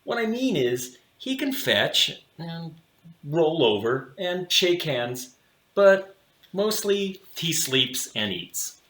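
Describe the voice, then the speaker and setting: A man reading a children's picture book aloud expressively, with theatrical delivery and deliberate dramatic pauses. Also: on the high side, big pitch swings, fairly bright tone. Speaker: storybook reader for children. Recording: roomy, echoing room sound.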